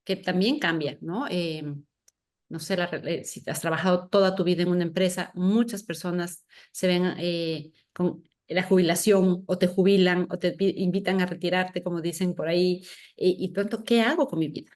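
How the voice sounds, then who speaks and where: A woman's voice: fairly low pitch, steady tone, normal speed, a little mellow. A woman hosting a podcast, talking reflectively and searching for her words as she goes. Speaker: podcast host. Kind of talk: reflective conversational talk.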